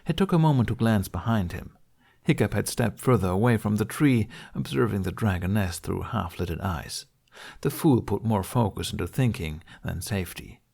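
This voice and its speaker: A man narrates a fantasy audiobook from a script. His voice is fairly low, with a noticeable creak and gravel in it. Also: animated, normal speed, darkish tone.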